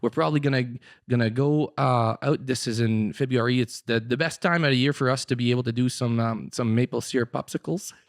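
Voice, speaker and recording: A man playing a French-Canadian pastor on a prank phone call, stalling with uh and um between every phrase. He is apologetic and unsure of himself. His voice is plain and mid-pitched. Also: no room sound, studio quality, close to an everyday voice. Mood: hesitant. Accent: Québécois French. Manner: halting, full of uh and um.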